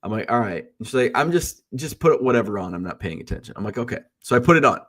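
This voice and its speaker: A man's voice: medium pitch, animated, quick pace, a bit bright. A man hosting a live stream, chatting casually and rambling off topic with jokes, sounding stuffy and congested from a cold. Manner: chatty and joking, wanders off on tangents. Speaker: host of a daily live stream. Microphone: streaming setup.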